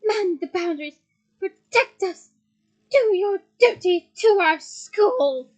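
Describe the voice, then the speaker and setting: A woman playing a stern elderly witch who gives clipped commands. The voice sits high for a woman. It comes from a storybook reading. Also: fairly even intonation, moderate pace, mellow. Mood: commanding.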